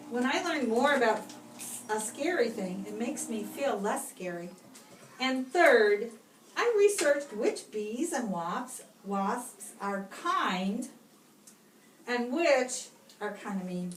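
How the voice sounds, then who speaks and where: A woman reading a children's picture book aloud like a teacher, with expressive, deliberate phrasing and pauses for young listeners, recorded at a distance in a room. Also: on the high side. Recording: roomy, distant microphone, clear background noise.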